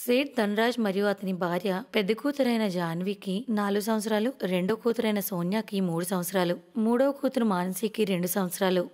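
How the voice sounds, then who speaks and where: A woman's voice: medium pitch, fairly quick, hardly any pauses, darkish tone. Female narrator for cartoon moral tales, medium register, scripted storytelling, echoing hall sound. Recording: long reverberant echo.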